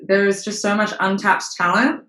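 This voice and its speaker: A woman's voice: mid-range voice, fairly even intonation, moderate pace, a bit bright. A young woman from Australia, an actress being interviewed, speaking frankly with casual swearing and many fillers. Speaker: actress and model, interview guest. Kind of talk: candid interview conversation. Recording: roomy echo.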